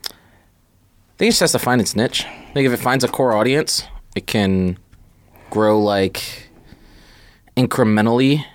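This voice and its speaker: A man's voice: mid-range voice, measured pace, long pauses, slight background noise. A man in casual podcast conversation, speaking informally and swearing offhandedly. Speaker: podcast co-host or guest. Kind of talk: casual sneaker podcast chat.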